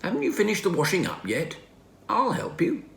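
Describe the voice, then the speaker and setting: A man's voice: sits high, wildly swooping pitch, a little fast, vocal fry, a bit bright, voice pushed up, full character voice. A man voicing a helpful, puzzled father in a children's storybook, musing as he talks. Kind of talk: children's storybook character reading. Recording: roomy home recording, faint noise.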